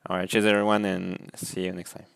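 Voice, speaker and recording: A man hosting a casual interview in a low-key way. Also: flat delivery, brisk, creaky, fairly bright tone, clear, resonant tone. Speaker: host.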